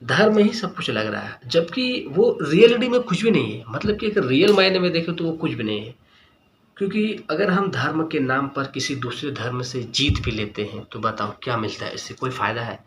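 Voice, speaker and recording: A man's voice: medium pitch, expressive, normal speed, slight background noise. A man giving an earnest opinion monologue to viewers as a vlogger, speaking emphatically and repeating key words and phrases.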